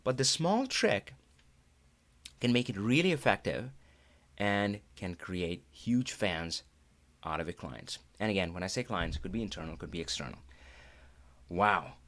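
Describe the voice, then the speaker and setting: Chatty Indian man, lowish voice. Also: unhurried, long pauses. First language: Hindi. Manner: animated, laughing often, chatty with fillers. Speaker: host. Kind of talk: live-stream talk.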